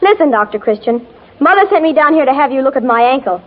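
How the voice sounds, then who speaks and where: High-pitched, headstrong teenage tomboy. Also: female voice, expressive, fast talker. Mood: earnest, defiant. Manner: earnest, slangy, gushing. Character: headstrong fifteen-year-old tomboy girl, breathless and dramatic. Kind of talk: 1940s radio drama acting. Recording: muffled old 1940s radio recording.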